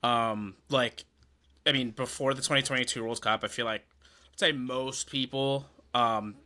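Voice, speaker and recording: A man joining a football podcast as an outside voice, answering in a measured, thoughtful way with frequent pauses. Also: mid-range voice, fairly even intonation, sharp tone. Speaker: podcast guest. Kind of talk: casual football discussion.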